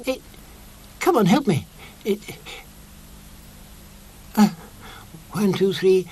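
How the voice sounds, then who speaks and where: A man doing a small, excitable creature from a storybook, squeaky and fussy. The voice jumps high above his own, swooping in a lively way. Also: drawn-out words, slightly rough, heavily acted. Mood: straining.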